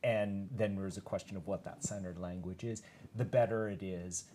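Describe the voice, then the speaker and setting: A man's voice: deep voice, steady tone, normal speed, mellow, slight background noise. A man answering as an expert panel guest, explaining carefully and rephrasing as he goes.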